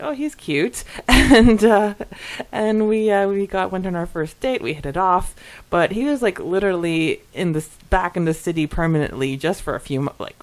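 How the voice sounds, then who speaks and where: Female podcast co-host, lower-leaning pitch, giggly and expressive, relaxed conversational read. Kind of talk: casual chat and reading out listener messages.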